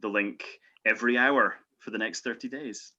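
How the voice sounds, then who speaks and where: Bright-voiced, low-pitched man chatting. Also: steady tone, normal speed, dull audio. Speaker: guest screenwriter. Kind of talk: casual podcast chat.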